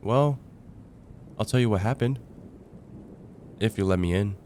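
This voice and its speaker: A young man's lowish, very soft-edged voice, acted as a casual heartbreaker friend in an ASMR roleplay. He talks conversationally and hesitantly, with sighs and trailing pauses. A faint thunderstorm rumble sits behind him. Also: lightly acted. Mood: pleading, casual. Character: casual young male heartbreaker friend confiding about dating.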